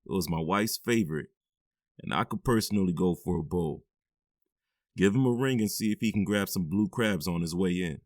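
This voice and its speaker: An actor playing a widowed man who speaks in a calm, easygoing way at home. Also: sits low, moderate pace, no room sound, clean studio sound.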